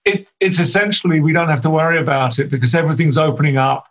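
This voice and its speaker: A British man in his fifties is a guest on a podcast, joining over a video call. He speaks with a slightly raised pitch and a wry, dry humor. The call audio sounds dull and lo-fi. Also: fast, fairly bright tone. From United Kingdom. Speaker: guest, business consultant. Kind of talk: casual interview chat.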